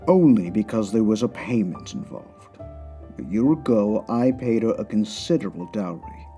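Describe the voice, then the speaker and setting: A man's voice: lowish voice, warm tone, husky. A man playing a haughty, wealthy aristocratic villain in a comic dub, speaking with formal, pompous precision.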